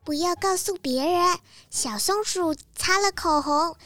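A woman's voice: very high-pitched, a little slow, bright, forceful tone, dry recording, faint music behind, voice pushed up, exaggerated voice. A female storyteller voicing a timid little deer in a children's storybook, confiding gossip in a tentative way.

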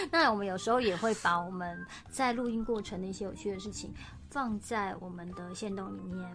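A woman's voice: mid-range voice, animated, normal speed, a bit bright. Giggly young woman chatting. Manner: giggly, chatty, laughs often. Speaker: radio drama co-host and voice actor. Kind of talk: casual chat. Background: music.

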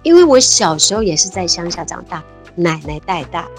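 A woman's voice: fairly low pitch, sing-song, brisk, soft background music. Taiwanese woman in her fifties recalling memories earnestly. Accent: Taiwanese Mandarin. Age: 50s. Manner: earnest, warm-hearted, often exclaiming. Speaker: interview guest. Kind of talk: reminiscing conversational storytelling.

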